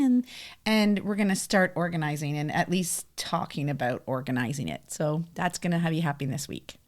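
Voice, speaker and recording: A middle-aged Canadian woman co-hosting a podcast in casual conversation. Her voice is average in pitch for a woman. It moves up and down in a very lively way. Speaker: podcast co-host. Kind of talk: casual chat.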